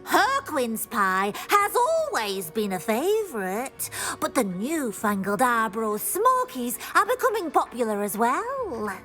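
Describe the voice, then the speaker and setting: A cheerful house-elf servant, high and wildly sing-song in pitch. She takes her time over words, fussing obligingly like a devoted kitchen helper in a fantasy game. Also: big pitch swings, slow, few pauses, bright, forceful tone, soft background music, full character voice. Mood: chatty, obliging. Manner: speaks of self in third person, fussy and obliging. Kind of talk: video game character acting.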